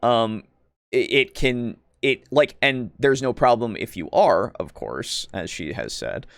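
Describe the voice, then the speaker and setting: A man with a clear, smooth voice recorded close and dry hosts a casual discussion. He speaks in a halting, wordy way, often pausing and circling back to qualify what he just said. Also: mid-range voice, animated, fairly bright tone, no echo. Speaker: podcast host. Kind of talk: casual discussion, commenting on a video for a live stream.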